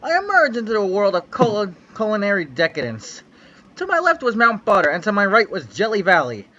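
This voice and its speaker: A man's voice: high-pitched, wildly swooping pitch, brisk, pushed and bright, lo-fi sound. A young man giving a mock-dramatic reading of a fanfic on a livestream, with a theatrical, sweeping storyteller delivery. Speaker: reader on a livestream.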